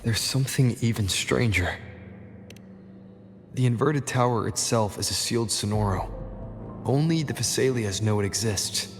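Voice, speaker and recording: A man's voice: mid-range voice, close to an everyday voice. A man voicing a serious, resolute young adventurer in a video game, pensive and earnest. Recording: music behind, long hall reverb.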